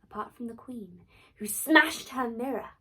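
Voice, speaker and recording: Slow, theatrical woman storyteller. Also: fairly high pitch, a bit bright, husky. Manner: theatrical, deliberate pauses. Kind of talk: dramatic fairy tale retelling.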